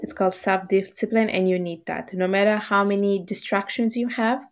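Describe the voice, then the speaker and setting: A woman's voice: medium pitch, steady tone, normal speed. Woman guest on a podcast, bengali first language, easy thoughtful answers with likes and ums. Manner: casual, thoughtful, with fillers and laughs. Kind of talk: casual interview.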